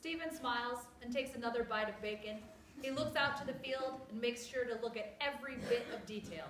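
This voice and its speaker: High-pitched woman narrator in an echoey room. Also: fairly quick. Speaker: narrator reading stage directions. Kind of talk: scripted narration. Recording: echoey room, distant mic, background noise.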